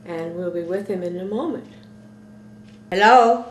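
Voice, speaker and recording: A woman's voice: lowish voice, unhurried, a little hiss or hum. An older woman chats as an interview host in a roomy, echoing living room. She takes her time with each question. Her manner is folksy and familiar, with pet names dropped in. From Toronto, Canada. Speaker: talk show host, interviewer. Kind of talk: casual interview chat. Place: small living room, indoors.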